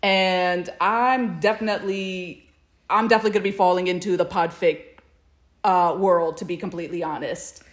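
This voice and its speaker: A woman's voice: lowish voice, fairly even intonation, moderate pace. A woman co-hosting a podcast in casual chat, with a hesitant, meandering way of talking. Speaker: podcast co-host.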